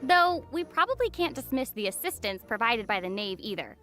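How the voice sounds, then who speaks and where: A young woman presenting a game showcase with enthusiastic scripted banter and a gushing, animated manner, over light music. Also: fairly high pitch, brisk.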